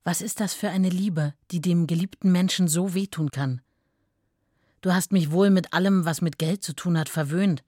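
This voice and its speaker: An actress playing a proud, deeply hurt wife in a radio play, controlled and reproachful in her delivery. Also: moderate pace, warm tone, slightly rough, no echo, clean studio sound. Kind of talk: radio play letter reading.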